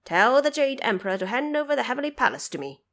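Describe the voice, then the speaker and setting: Woman voicing a brash, high-pitched cocky monkey king. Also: fast. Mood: boastful, defiant. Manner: boastful, excitable, challenging. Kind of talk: fantasy audio drama character acting.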